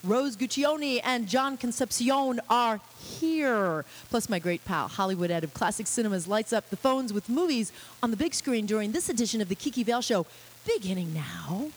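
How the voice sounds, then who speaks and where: A woman's voice: fairly high pitch, expressive, moderate pace, poor audio. A woman hosting a live radio interview show, bubbly and effusive with her guests. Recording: faint tape hiss.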